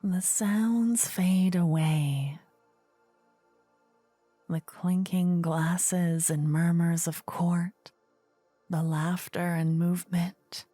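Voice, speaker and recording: A woman narrating a fantasy ASMR story in a soft, soothing whisper, measured and very close to the mic. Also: lowish voice, steady tone, no echo, faint music behind. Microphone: close ear-to-ear ASMR microphone.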